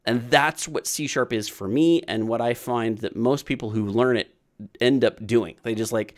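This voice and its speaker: A man's voice: medium pitch, moderate pace. A man in his thirties hosting a casual programming livestream Q&A from a small room on webcam, rambling and repeating himself with lots of fillers.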